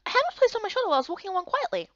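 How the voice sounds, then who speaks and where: A woman giving an expressive scripted voice-over of a visual novel. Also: extremely high pitch, rapid-fire, dry recording, dull audio.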